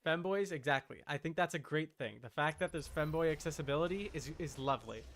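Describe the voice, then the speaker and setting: A man's voice: a little high, brisk, fairly bright tone, a little hiss or hum. A man giving casual gaming livestream commentary in short, playful asides, as if talking to the game and chat. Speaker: livestream host.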